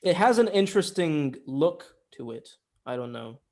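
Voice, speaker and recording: A man's voice: fairly high pitch, very animated, normal speed, darkish tone. A man co-hosting a podcast in casual film chat, informal and loose-tongued with the odd swear word. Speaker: podcast co-host.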